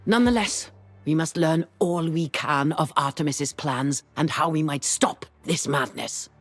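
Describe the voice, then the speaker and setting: An actor of unclear sex playing a grave, learned leader in a fantasy video game, formal and measured. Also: mid-range voice, no room sound, faint music behind. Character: grave, learned leader worried for a threatened region. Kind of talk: dramatic video game character acting.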